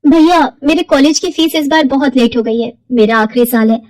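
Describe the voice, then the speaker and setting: A young college girl played by a woman storyteller, self-centred and outspoken. Her voice is on the high side and recorded dry, in the style of a family cartoon. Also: moderate pace, a little mellow, dry recording, lightly acted. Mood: pleading.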